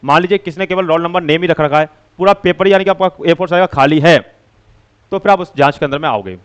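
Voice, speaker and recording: Man, coaching teacher, very quick delivery, smooth clear voice, repetitive phrasing, informal explainer. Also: fairly high pitch. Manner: repetitive, filler-heavy phrasing. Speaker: coaching teacher hosting exam update videos. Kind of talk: informal explainer addressed to students.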